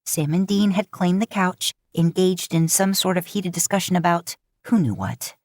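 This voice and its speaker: A woman narrating a story from a script in an expressive reading style. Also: on the low side, normal speed, no room sound, clean studio sound. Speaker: podfic narrator, podcast host.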